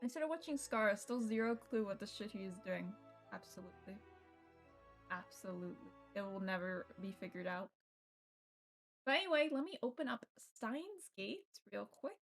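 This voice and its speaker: A woman's voice: mid-range voice, very animated, moderate pace. Young woman streamer chatting, music behind. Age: young adult. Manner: informal, sweary. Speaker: livestreamer. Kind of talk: casual chat to stream viewers while setting up a game. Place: indoors at home computer setup. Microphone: streaming mic with noise gate.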